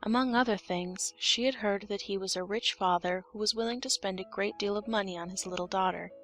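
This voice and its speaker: A woman's voice: medium pitch, fairly even intonation, brisk, fairly bright tone, faint music behind. A woman reading scripted storybook narration as an audiobook narrator.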